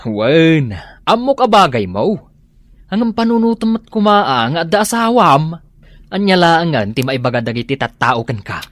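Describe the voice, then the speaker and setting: A man's voice: on the high side, very animated, moderate pace, dry recording. An actor with an Ilocano accent playing a nagging, disapproving man of the household in a comedy radio drama, full of scolding questions.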